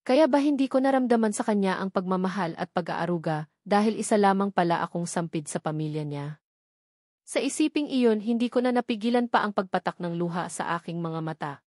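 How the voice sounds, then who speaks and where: A woman's voice: medium pitch, darkish tone, dry recording, clean studio sound. A woman reading scripted first-person novel narration as an audiobook narrator.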